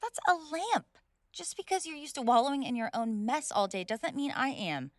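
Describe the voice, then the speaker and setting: A woman's voice: sits high, expressive, normal speed, dry recording. Woman doing a snobby rich tsundere college girl for audio roleplay, sweary and huffy, stammers a bit, close dry sound. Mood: furious, alarmed. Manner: indignant, snobbish, sweary, stammers when flustered. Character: haughty, spoiled rich college girl bully, hot-tempered tsundere. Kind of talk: scripted roleplay audio drama, one side of a dialogue.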